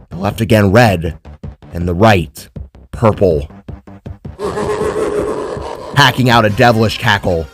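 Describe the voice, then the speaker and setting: Man narrating a dramatic story over music. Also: mid-range voice. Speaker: narrator and author reading own story. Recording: music behind the voice.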